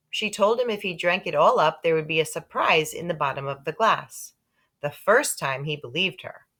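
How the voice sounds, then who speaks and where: A woman reading a children's book aloud in an expressive storytelling style. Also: low voice. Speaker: story reader.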